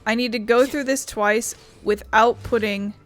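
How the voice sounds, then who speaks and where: A woman's voice: on the high side, fairly even intonation, a little slow. A woman giving casual let's-play commentary, grumbling bluntly and swearing as she plays. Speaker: gaming video host. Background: game music.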